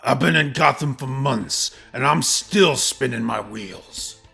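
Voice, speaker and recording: A man voicing a bitter, impatient mob gangster in a comic dub, with a rough voice and menacing, sarcastic delivery. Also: medium pitch, expressive, slow pace, full character voice. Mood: fed up. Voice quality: rough, gravelly, tense. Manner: menacing, sarcastic tough-guy talk. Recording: music behind the voice.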